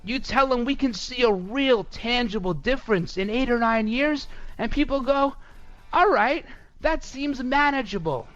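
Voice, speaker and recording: A man hosting a talk radio show, his voice pitched high and set in a dry, close studio sound. He delivers a sarcastic, mocking political monologue with animated energy. Faint music runs under him. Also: unhurried, no echo, muffled recording.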